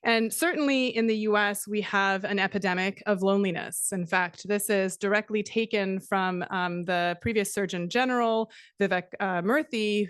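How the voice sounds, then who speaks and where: A middle-aged woman from Canada giving a conference talk, measured and steady, with frequent fillers. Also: mid-range voice, smooth, no room sound, studio quality. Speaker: conference speaker. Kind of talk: academic presentation.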